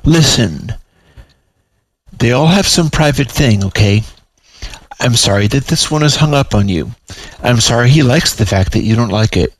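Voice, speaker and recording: A man's voice: mid-range voice, lively intonation, normal speed, pauses often, natural voice. A man voicing a motherly but pushy female cat-person madam in a story, coaxing and impatient. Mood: exasperated. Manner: coaxing, calls others honey.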